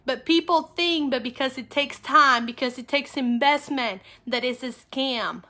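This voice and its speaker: Bright, high-pitched Peruvian-accented woman. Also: unhurried. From Peru. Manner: upbeat, emphatic, repeats key phrases. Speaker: network marketing coach and livestream host. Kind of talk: informal livestream talk to viewers.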